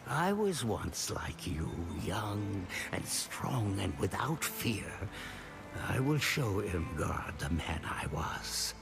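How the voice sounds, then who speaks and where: An actor playing a weary, forlorn aging warrior in a fantasy game, speaking gravely and haltingly. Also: male voice, on the low side, big pitch swings, heavily acted. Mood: grimly resolved. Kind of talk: dramatic fantasy game monologue. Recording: music behind the voice.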